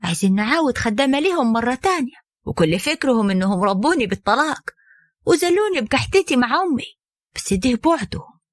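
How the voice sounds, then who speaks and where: Bitter defiant young divorcee, played by a woman, fairly high, cutting, dry. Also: very animated, quick pace, dry recording.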